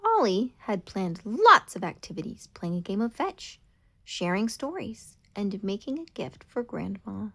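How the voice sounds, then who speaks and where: A woman narrates a children's storybook in a deliberate, expressive way. Her pitch moves around a lot at a middling height, keeping the telling animated for young listeners. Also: vocal fry. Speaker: storybook reader.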